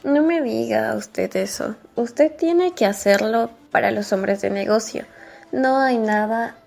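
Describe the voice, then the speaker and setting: Woman playing a slow, gravelly, stern mine boss. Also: fairly high pitch, a little mellow, faint music behind. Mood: stern, insistent. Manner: curt, commanding. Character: stern, implacable male American mine boss. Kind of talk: amateur radionovela acting.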